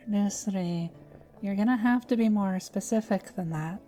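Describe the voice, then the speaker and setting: A woman playing a gentle, patient young alchemist who explains things calmly and matter-of-factly in a fantasy audio drama. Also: mid-range voice, slow pace, faint music behind, natural voice, low-quality recording. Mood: patient, curious. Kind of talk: fantasy audio roleplay acting.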